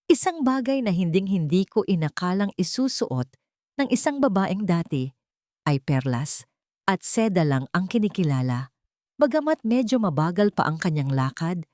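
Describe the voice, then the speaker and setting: A woman narrates a dramatic story from a script. Her voice sits a little low for a woman. The recording is close and very dry, with no room sound. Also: darkish tone, no echo.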